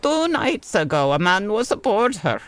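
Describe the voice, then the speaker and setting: A woman's voice: medium pitch, big pitch swings, normal speed, dry recording, natural voice. A woman playing an eccentric, world-weary old Cuban waterfront woman with a Cuban Spanish accent and dramatic, formal phrasing, in an audio comedy. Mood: deliberate.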